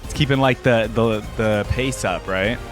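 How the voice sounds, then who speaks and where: A man's voice: on the low side, measured pace, over music. A man giving enthusiastic, informal reaction commentary as the host of a fan channel.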